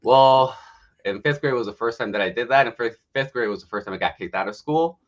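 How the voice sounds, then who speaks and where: A man's voice: medium pitch, brisk, muffled recording. A man in his late thirties from Mexico tells his life story as a guest on a morning livestream, speaking frankly and poking fun at himself. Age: 30s. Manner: candid, self-mocking storyteller who laughs often. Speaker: guest health coach.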